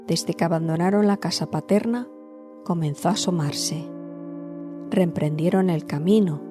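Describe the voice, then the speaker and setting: A woman narrating a scripted fairy tale as a storyteller, in a measured, dramatic way. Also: lowish voice.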